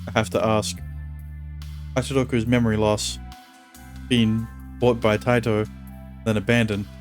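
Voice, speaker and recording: A male streamer who reads visual novel narration aloud in a steady tone and chats casually between lines. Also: fairly low pitch, a little slow, faint music behind.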